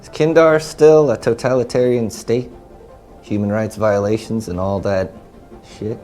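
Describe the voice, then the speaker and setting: A man's voice: lowish voice, steady tone, a little slow, mellow, faint music behind, lightly acted. A man acting as a brash, skeptical investor in a film, speaking in a blunt, sardonic and profane way. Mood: skeptical, scornful.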